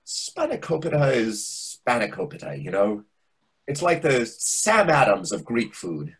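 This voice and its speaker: A man acts out a pompous, over-familiar restaurant waiter in a stage comedy. His voice is very husky and swings widely in pitch, on the lower side, as he lectures with theatrical condescension. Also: huge pitch swings, a little slow, fairly bright tone, exaggerated voice. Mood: wounded, snide. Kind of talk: readers theatre comedy acting. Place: indoors on a video call. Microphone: video call microphone.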